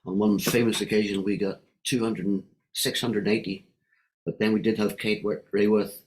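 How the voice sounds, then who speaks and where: A man's voice: lowish voice, little pitch movement, normal speed, rough recording. A middle-aged man with a Northern Irish accent, speaking on a video call in a friendly, hesitant way. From Northern Ireland. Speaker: guest speaker from a Welsh wellbeing group. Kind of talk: online meeting contribution.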